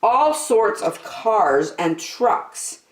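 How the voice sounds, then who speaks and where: A woman hosting story time, reading a children's book aloud in an expressive, deliberate, sing-song way. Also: medium pitch. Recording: echoey room.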